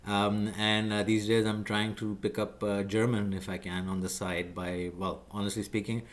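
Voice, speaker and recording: A man whose first language is Urdu, talking through career skills in a measured, lecturing way with frequent fillers and restarts. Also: on the low side, level tone. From Pakistan. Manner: measured, lecturing, many fillers and self-corrections. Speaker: video creator explaining job skills. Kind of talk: unscripted talking-head explainer.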